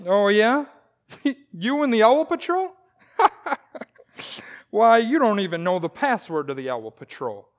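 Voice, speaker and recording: An actor playing a blustering, boastful, bumbling husband in old-time radio comedy, with folksy, grumbling exclamations. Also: male voice, sits high, big pitch swings, takes it slowly, long pauses, full character voice. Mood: scornful challenge. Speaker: actor in community theater radio play.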